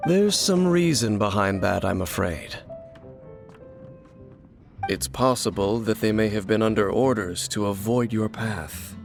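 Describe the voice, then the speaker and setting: A man voicing a solemn, brooding, mysterious wanderer in a video game, speaking in a measured, grave and formal way. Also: on the low side, animated, gravelly. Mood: grave, composed. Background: game music.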